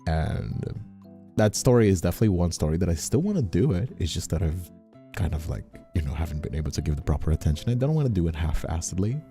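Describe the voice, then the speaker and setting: Male streamer, low and very dark mellow voice, animated rambling delivery, music behind. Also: quick pace. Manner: excitable, rambling, interrupts. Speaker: streamer and voice actor. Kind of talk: casual livestream chat.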